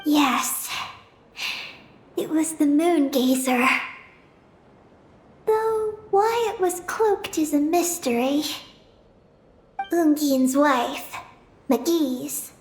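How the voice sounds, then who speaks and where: A woman playing an earnest, determined young girl on a mission in a video game, speaking haltingly and solemnly. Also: high-pitched, darkish tone, roomy sound, slight background noise.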